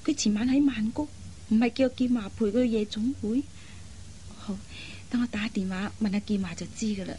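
A woman playing an anxious, caring elder sister in an expressive, earnest radio drama style. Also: a little high, a little fast, natural voice. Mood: concerned, puzzled. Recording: faint background noise.

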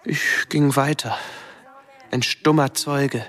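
An actor playing a withdrawn, guilt-ridden young man, recounting his memories haltingly and introspectively in a radio drama monologue. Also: medium pitch, steady tone, fairly bright tone. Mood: haunted. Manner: slow, halting, introspective delivery in short phrases.

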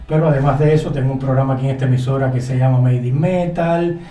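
A man with a Cuban accent, chatting easily as a guest in a radio interview and telling stories in a relaxed way. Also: mid-range voice, fast. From Cuba. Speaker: guest, radio colleague and author. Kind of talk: casual radio interview chat. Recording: echoey studio, distant mic, faint music.

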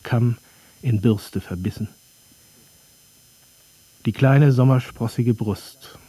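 Slow, very dark, husky brooding narrator. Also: male voice, medium pitch, dry recording, low-quality recording. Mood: wry, observing. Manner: terse fragments, deliberate, dry. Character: brooding, sardonic male literary narrator of 1801. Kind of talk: literary radio play narration, interior monologue.

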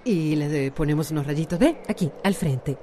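An actress playing a bold, friendly hairdresser in a radio soap, with a Latin American Spanish accent. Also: female voice, low-pitched, moderate pace, dark tone, a little hiss or hum, natural voice. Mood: friendly, persuasive. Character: bold, independent adult woman hairdresser, friendly and reassuring. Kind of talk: radio soap opera acting.

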